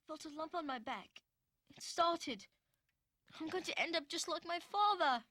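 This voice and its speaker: An actor playing a sickly, spoiled young boy in an animated drama, with an imperious, whiny, dramatic manner. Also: very high voice, a little slow, dry recording, studio quality, full character voice. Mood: fearful, subdued.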